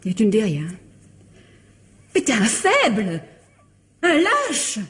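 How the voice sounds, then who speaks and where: An actress playing an exasperated, scornful younger wife in a French radio mystery, theatrical and reproachful. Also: female voice, fairly high pitch, huge pitch swings, slow pace, a bit bright, exaggerated voice. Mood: scornful, furious.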